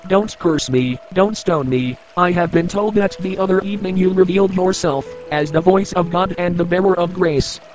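A man's voice: high voice, moderate pace, few pauses, faint music behind, lightly acted. A man playing a pleading leper covered in sores. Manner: pleading, fearful. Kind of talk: radio drama acting.

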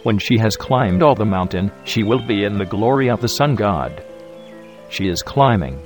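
A gentle, serene teacher , played by a man, who speaks in a calm, solemn way. His voice sits slightly low. Faint music plays behind him. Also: normal speed, natural voice. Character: gentle, serene male spiritual teacher Jesus. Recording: music score underneath.

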